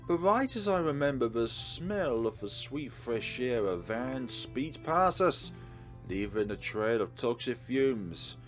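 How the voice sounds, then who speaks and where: A man's voice: medium pitch, big pitch swings, slow. A man hosting a let's play, reading game text aloud and commenting on it. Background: game music.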